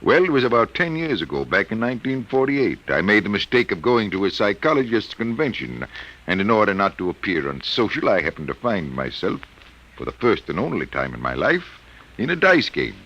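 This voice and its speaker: A man's voice: lowish voice, very animated, fairly quick, a bit bright, dull audio. An American actor playing a mild, middle-aged college professor troubled by his own power, speaking formally and hesitantly in 1950s radio drama style. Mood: earnest. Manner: formal, precise, hesitant wording.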